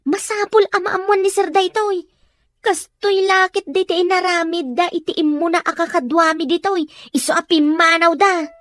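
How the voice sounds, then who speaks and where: Woman playing worried filipina maid in a radio play, high pitched, urgent and pushy, dry audio. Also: fairly even intonation, moderate pace, a bit bright, no echo. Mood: alarmed. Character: alarmed, urgent older-sister figure on a mansion staff. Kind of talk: Ilocano audio drama acting.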